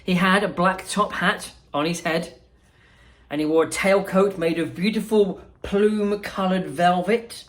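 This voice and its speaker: A woman, a school principal reading a storybook aloud expressively, with pauses and small asides. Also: on the low side. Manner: unhurried, expressive, pausing.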